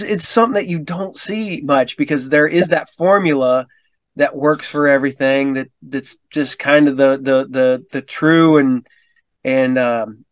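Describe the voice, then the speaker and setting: A man with a slightly raised pitch hosts a casual chat show, stumbling over his words and repeating himself. His voice sounds dry and close to the mic. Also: dry recording. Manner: hesitant, repeats words, many fillers. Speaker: podcast host. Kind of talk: casual conversation.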